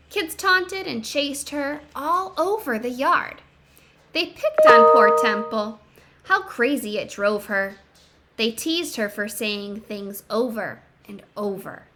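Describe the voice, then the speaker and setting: A woman reading a picture book aloud to children in an expressive, emphatic way, pausing to explain and ask questions. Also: on the high side.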